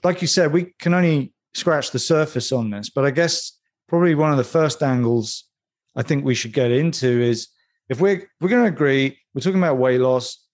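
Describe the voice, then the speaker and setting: A man co-hosting a discussion, setting up the topic in a measured, structured way. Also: medium pitch. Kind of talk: conversational expert discussion.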